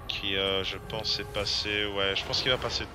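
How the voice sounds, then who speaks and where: A man's voice: lowish voice, steady tone, moderate pace. A man chatting casually as he streams a game, hesitant and full of fillers and restarts. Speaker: gaming streamer. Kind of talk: casual gameplay commentary. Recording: loud game music behind the voice.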